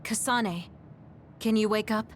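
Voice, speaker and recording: An actress playing a kind, caring squadmate in a video game, gentle and encouraging. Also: female voice, medium pitch, a little slow, a little hiss or hum, close to an everyday voice. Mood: concerned. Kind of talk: video game cutscene voice acting.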